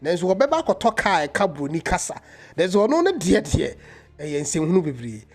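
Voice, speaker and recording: A man's voice: high voice, sing-song, normal speed, faint music behind. A Ghanaian man giving impassioned commentary in a vlog, mixing English and Twi. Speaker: vlogger.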